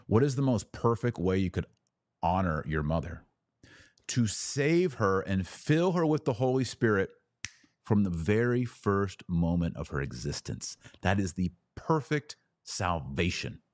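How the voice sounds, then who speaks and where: A man hosting a live religious question-and-answer talk, explaining didactically and emphatically, pausing deliberately on key words. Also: low voice, sing-song, a little mellow, dry recording, studio quality. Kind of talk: live theological teaching and viewer Q&A.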